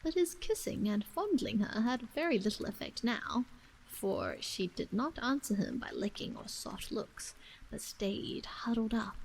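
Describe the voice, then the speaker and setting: A woman reads an old novel aloud as a bedtime ASMR storyteller, in a soft, breathy voice that often slips into a whisper, gentle and hushed, with frequent pauses. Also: mid-range voice, rough recording. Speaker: storyteller and streamer reading aloud.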